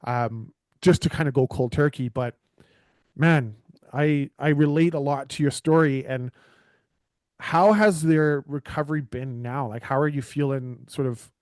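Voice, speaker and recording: A man's voice: medium pitch, measured pace, a little mellow, no echo. A man with a Canadian accent hosting a casual interview, talking earnestly in a rambling way full of fillers and restarts. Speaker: podcast host.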